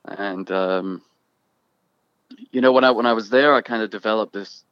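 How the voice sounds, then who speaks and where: A young man from Ireland with an accent that drifts between Irish, Scottish and American, talking casually and haltingly with many restarts. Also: on the low side, clear, resonant tone, no room sound, dull audio. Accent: mixed Irish and Scottish with American. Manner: halting, restarts often, thoughtful. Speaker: guest musician. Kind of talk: casual conversation.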